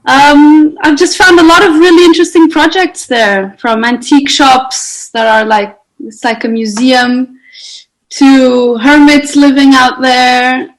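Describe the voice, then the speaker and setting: A woman's voice: high voice, low-quality recording. Young woman, reflective. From Portugal. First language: Portuguese. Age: 20s. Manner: reflective, searching for words, light laughter. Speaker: guest, photographer. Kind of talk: conversational podcast interview.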